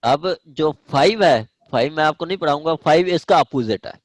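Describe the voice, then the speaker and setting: A man's voice: fairly high pitch, animated, normal speed, no echo. A man with a Pakistani accent lecturing informally as a law teacher, repeating points through examples and often checking that listeners follow. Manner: repetitive, explains by examples with frequent check-in tags.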